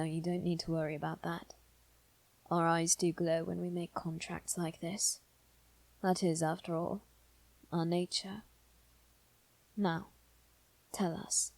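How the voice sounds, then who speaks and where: Woman as a low, creaky, gentle demon triplet. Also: no room sound, low-quality recording. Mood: solemn, devoted. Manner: soft, measured, deliberate, sometimes near whisper. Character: gentle, formal male demon triplet, devoted and possessive. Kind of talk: scripted ASMR roleplay acting.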